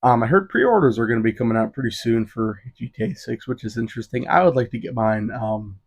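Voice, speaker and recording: Young male podcast host, 20, fast and rambling, filler-heavy solo chat. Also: medium pitch, expressive. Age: 20s. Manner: rambling, many fillers like "you know" and "um".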